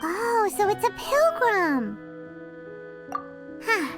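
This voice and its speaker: A woman voicing a small, perky, cheeky childlike fairy companion in a video game, with a squeaky, expressive voice. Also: drawn-out words, music in the background, heavily acted. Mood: curious, teasing.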